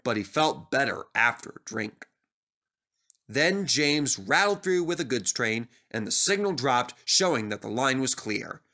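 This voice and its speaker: A man narrating a scripted children's story as an animated storyteller. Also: mid-range voice, unhurried.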